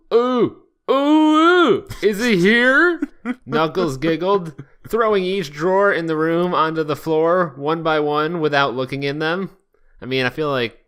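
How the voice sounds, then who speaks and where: Guy podcast host reading silly stuff out loud, amused, crisp bright voice, casual banter. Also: medium pitch. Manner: amused, laughs through reading, crude joking.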